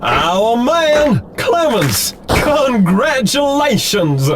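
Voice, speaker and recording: A man playing a flamboyant, thrill-hungry showman on the edge of madness, drawn-out and swaggering, in an animated game cutscene. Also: very high voice, background noise, heavily acted. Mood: showy, teasing. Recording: voice under loud music.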